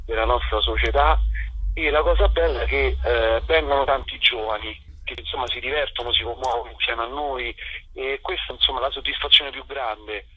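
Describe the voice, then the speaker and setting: A man with a slightly husky voice, chatting informally as an interview guest. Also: medium pitch, fairly quick, no room sound. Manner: chatty, informal, peppered with tags. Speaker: actor and playwright, interview guest. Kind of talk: casual radio interview. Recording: dull lo-fi telephone interview.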